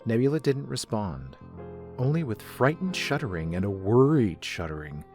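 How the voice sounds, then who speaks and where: A man's voice: fairly low pitch, sing-song, moderate pace, darkish tone, faint music behind. A man reading scripted third-person story narration as the narrator.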